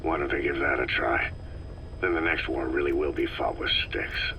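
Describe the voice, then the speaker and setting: An actor playing a stoic mercenary commander in a video game, speaking in terse, dry, understated lines. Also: male voice, very deep voice, moderate pace, bright, forceful tone, exaggerated voice. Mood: terse, probing. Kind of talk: scripted video game dialogue. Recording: distant from mic, faint noise.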